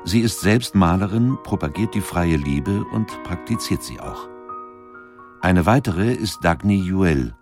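Low-voiced man narrating a documentary. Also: lively intonation, measured pace, music in the background.

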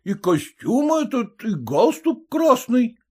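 A man's voice: very high-pitched, very animated, takes it slowly, husky, pitched above the performer's own voice, full character voice. A man voicing a cheerful village schoolteacher who teases his old friend. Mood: teasing. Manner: teasing, talkative.

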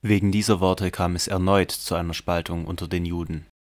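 A male narrator with a distinctly deep voice. He delivers scripted narration for a biblical audio drama. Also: steady tone, a little fast.

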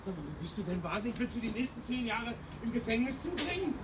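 Exasperated crook in an audio drama, high voice, insistent and scolding, off mic with noise behind. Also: male voice, sing-song, quick pace, far from the mic. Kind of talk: German audio drama acting.

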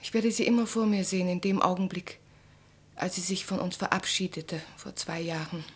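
Anxious, breathy, refined wife. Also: female voice, fairly low pitch, moderate pace. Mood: guilty, pained. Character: anxious, remorseful wife, refined and self-reproachful. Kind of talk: German radio play acting.

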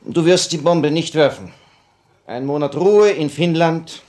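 An actor in a 1950s German radio play playing the firm, caring leader of a revolutionary cell, measured and probing. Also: male voice, a little high, darkish tone, close to an everyday voice. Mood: consoling.

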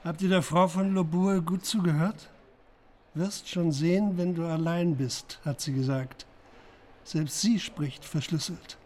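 A man's voice: fairly high pitch, measured pace, darkish tone, close to an everyday voice. An actor in a German radio crime drama playing a shrewd, commanding police chief who analyses for his team. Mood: analytical. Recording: faint background noise.

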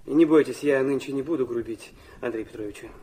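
An actor playing a proud, wounded and defiant young son in a theatrical audio drama, bitter and impulsive. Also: male voice, medium pitch, a little fast, mellow, natural voice. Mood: sarcastic, resentful. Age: young adult. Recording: faint noise and ambience behind.

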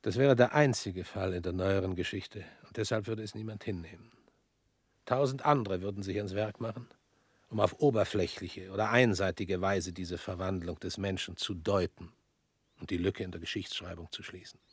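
An actor in a radio drama playing a weary former prosecutor who speaks slowly and drops to near whispers. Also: male voice, low-pitched, breathy edge, no room sound. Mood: grave.

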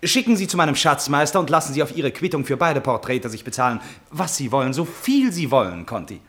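An actor playing an impulsive, self-absorbed prince in love, in a classic German radio play, with theatrical exclamations and musing asides. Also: male voice, mid-range voice, big pitch swings, a little fast, a bit bright. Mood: eager, generous.

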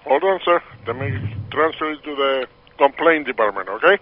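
A man with a gravelly voice answering a restaurant phone, curt and busy. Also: mid-range voice, animated, normal speed, slight background noise. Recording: dull lo-fi phone recording.

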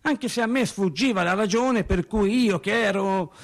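A man acting a theatrical radio monologue as an agitated, rambling working man who recalls his childhood in halting, repetitive colloquial speech. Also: sits high. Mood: uneasy, recounting. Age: adult over thirty. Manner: halting, repetitive, colloquial storytelling with stammered restarts.

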